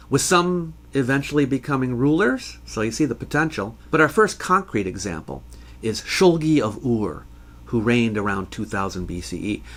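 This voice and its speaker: A man narrates educational history material as its host. His delivery is measured and formal, with precise enunciation. His pitch sits in the middle of the usual male range. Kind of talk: scripted educational narration answering listener questions.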